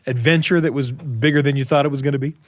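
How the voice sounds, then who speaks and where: A man's voice: mid-range voice, fairly even intonation, fast, dry recording, clean studio sound. An American man hosting a radio show, chatting and interviewing in a reflective, folksy, exclamatory way. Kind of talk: conversational interview.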